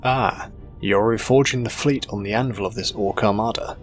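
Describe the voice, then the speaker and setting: A man's voice: lowish voice, fairly even intonation, moderate pace, close to an everyday voice. A man voicing a loyal junior fleet officer in an audio war story, formal and respectful in manner. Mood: respectful, probing. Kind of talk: dramatic audio story character. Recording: music behind the voice. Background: music.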